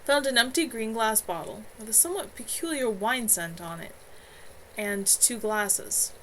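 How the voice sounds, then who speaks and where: A woman reading her own novel aloud in an expressive amateur storytelling style. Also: on the high side, moderate pace. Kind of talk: live amateur audiobook-style narration. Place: at home. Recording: clearly noisy home livestream recording.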